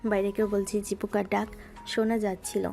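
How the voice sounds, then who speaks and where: A woman narrating a romantic audio story in a gentle, scripted storytelling style. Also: mid-range voice, little pitch movement, fairly quick, vocal fry. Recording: music bed underneath.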